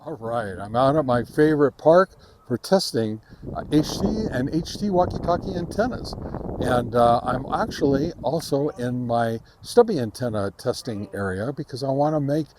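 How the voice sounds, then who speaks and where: Halting man talking through a field test. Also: mid-range voice, animated, noisy background. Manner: halting, hesitant delivery. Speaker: host of a radio gear review channel. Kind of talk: casual field-test commentary. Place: outdoors in a park.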